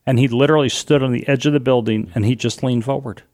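A middle-aged man with a loud voice, telling a personal story as an interview guest, animated and vivid, acting out what people said. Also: medium pitch, quick pace. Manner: vivid, animated retelling with acted-out quotes.

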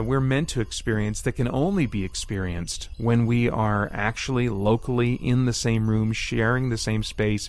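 Male podcast host, medium pitch. Casual, self-interrupting delivery that drifts between script and off-the-cuff asides. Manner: rambling, self-interrupting, thinking aloud.

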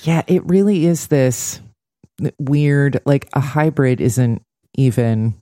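Brief: female podcast host, dark relaxed timbre, dry close sound, sardonic recap delivery. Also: a bass voice, takes it slowly, dry recording. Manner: sardonic, blunt. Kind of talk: conversational TV episode recap.

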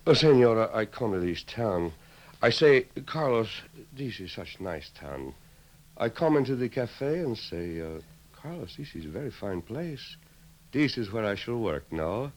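An actor playing a courtly, flattering Mexican gunslinger with a Mexican accent in a 1950s radio western. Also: male voice, lowish voice, unhurried. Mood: sly. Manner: courtly, sly, flowery phrasing. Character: masked hero posing as smooth, flattering Mexican gunslinger.

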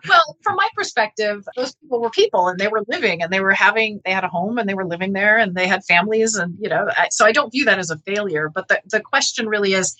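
A woman's voice: medium pitch, expressive, quick pace, hardly any pauses, bright, forceful tone, poor audio. A woman speaking as an expert guest in a conversational academic interview, explaining earnestly and often checking in with "right?". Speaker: interview guest, geneticist and author.

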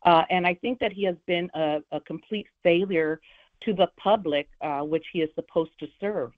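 A woman from Los Angeles County, a prosecutor running for office, speaking firmly and deliberately as a radio guest. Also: fairly low pitch. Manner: firm, measured, with frequent "uh" fillers. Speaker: prosecutor and political candidate. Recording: phone line.

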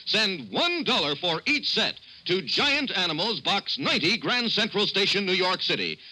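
A man delivering an emphatic, eager 1950s radio mail-order sales pitch as an announcer. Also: very animated, normal speed, slight background noise, rough recording. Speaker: advertisement announcer. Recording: bright 1950s radio broadcast sound.

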